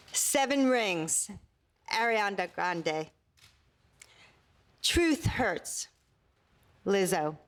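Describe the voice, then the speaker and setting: Woman reading out nominees, slow and unhurried, kinda thrilled. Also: medium pitch, a bit bright. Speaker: nominations announcer.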